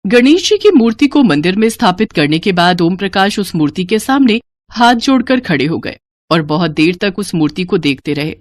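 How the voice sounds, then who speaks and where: A woman's voice: lowish voice, very animated, moderate pace, no room sound. A woman reading a scripted story as its narrator.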